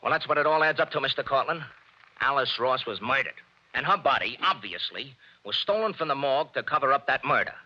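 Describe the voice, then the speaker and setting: An actor playing a cocky, wisecracking tough detective, in 1940s radio acting. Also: male voice, mid-range voice, lively intonation, fairly quick, dull audio, close to an everyday voice. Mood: brisk. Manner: cocky, needling.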